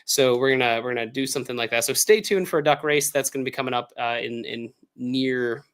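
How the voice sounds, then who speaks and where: A man with an American accent, hoarse from illness, chatting casually and upbeat as the host of a livestream Q&A, with lots of fillers. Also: medium pitch, a little fast, a bit bright. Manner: rambling, filler-heavy, upbeat. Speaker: author hosting a livestream Q&A.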